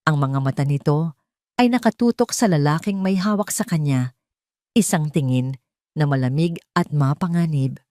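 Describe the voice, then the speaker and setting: A woman's voice: deep voice, moderate pace, mellow, no echo, studio quality. A woman reading a scripted emotional story as a dramatic narrator.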